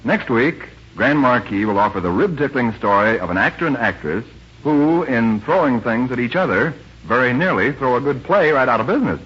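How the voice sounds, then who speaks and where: A man's voice: medium pitch, expressive, fairly quick, a bit bright, slightly rough. A man giving a scripted 1940s radio sign-off as the show's star. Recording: muffled, hissy 1940s radio recording.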